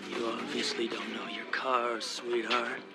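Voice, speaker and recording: An American actor playing an arrogant, hot-headed young street racer in a video game, sneering and boastful. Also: male voice, mid-range voice, level tone, a little slow, loud music behind the voice, lightly acted. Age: young adult. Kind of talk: video game cutscene acting.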